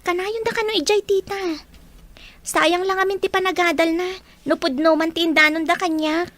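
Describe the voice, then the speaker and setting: A woman's voice: extremely high pitch, fairly even intonation, moderate pace, a bit bright, dry recording. A woman playing a concerned, caring young female student in an audio drama, with an Ilocano Filipino accent. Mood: worried.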